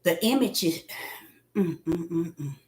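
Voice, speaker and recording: A woman preaching a livestream sermon in a repetitive, preachy manner that swells into fervent shouts. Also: lowish voice, lively intonation, unhurried, echoey room, slight background noise.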